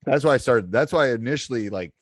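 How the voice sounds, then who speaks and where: A man's voice: mid-range voice, expressive, fast, no echo, studio quality. An American man chatting casually as a podcast host and basketball coach. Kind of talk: casual chat.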